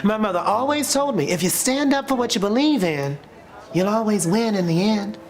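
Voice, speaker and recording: An actress playing a proud, kindly, principled customer in a moralistic web drama, earnest and declarative. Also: female voice, medium pitch, animated, moderate pace, lightly acted. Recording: music clearly under dialogue.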